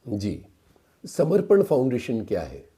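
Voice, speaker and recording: An Indian man hosting a radio interview, asking unhurried questions in hesitant phrases with short acknowledgements. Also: mid-range voice, huge pitch swings, warm tone, breathy edge.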